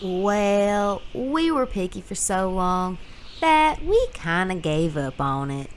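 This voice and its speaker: Woman as a goofy laid-back space pilot, super bouncy intonation, slowish talker, audio drama. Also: medium pitch, a little hiss or hum. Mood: casual, musing. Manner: playful, animated. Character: playful, easygoing female spaceship pilot.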